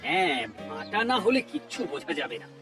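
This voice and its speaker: A man's voice: high-pitched, very animated, brisk, over music. A man playing a kindly, authoritative maharaja in a cartoon, with a regal, declamatory manner. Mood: commanding, curious. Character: kindly, authoritative Bengali maharaja who protects animals. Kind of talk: cartoon voice acting.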